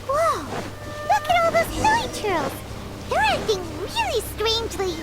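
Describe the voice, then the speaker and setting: A woman voicing a tiny, excitable, timid fairy companion in a video game, with a squeaky childlike voice. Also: unhurried, loud music behind the voice, full character voice. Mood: startled, excited. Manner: excitable, exclaiming, speaks of herself in third person. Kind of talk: animated game character acting. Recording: loud game sounds behind the voice.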